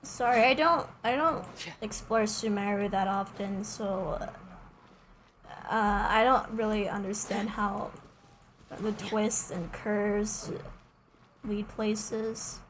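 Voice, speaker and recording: A woman's voice: mid-range voice, fairly even intonation, slow, a little hiss or hum, poor audio. A woman chatting casually and musingly, with some hesitation, as she commentates on a game livestream. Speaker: streamer. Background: faint game music.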